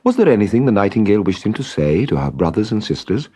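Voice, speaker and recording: A man's voice: on the low side, sing-song, quick pace, mellow, husky. A man reading a scripted children's story as narrator, with music behind him.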